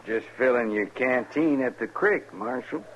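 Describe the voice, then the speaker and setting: Actor as sly, taunting western outlaw, muffled recording. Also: male voice, mid-range voice, natural voice. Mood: sly, falsely obliging. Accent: rural American West. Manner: mocking, unhurried needling. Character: sly, taunting male outlaw prisoner, coolly patient. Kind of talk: 1950s American radio drama acting. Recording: muffled, lightly noisy vintage radio recording.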